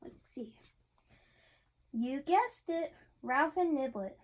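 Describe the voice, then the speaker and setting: A woman's voice: sits high, animated, slow pace, a little mellow. A woman reading a picture book aloud to a child in an interactive way, encouraging and asking questions. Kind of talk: interactive storybook read-aloud.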